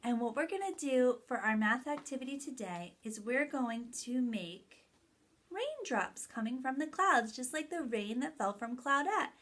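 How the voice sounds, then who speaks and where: Higher-voiced woman teaching young children. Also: big pitch swings, a little slow, fairly bright tone. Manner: addresses young children in simple, step-by-step phrases with emphatic key words. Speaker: early childhood teacher hosting story time. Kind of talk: children's lesson, read-aloud and activity instructions.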